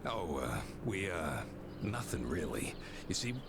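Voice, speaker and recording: A man's voice: low-pitched, sing-song, a little slow, gravelly, very noisy, full character voice. A man playing a nervous, shifty thief in a video game, hesitant and evasive.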